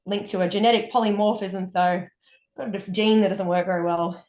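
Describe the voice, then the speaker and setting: A woman's voice: medium pitch, fairly even intonation, brisk, darkish tone. Chatty Australian woman, upbeat storyteller, echoey room. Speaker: guest, wellness coach. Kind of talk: casual interview storytelling.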